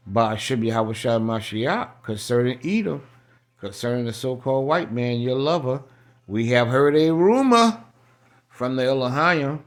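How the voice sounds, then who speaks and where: An American man preaching in a colloquial, emphatic and repetitive way, reading scripture aloud and adding his own running commentary. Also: mid-range voice. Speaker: street-style Bible preacher.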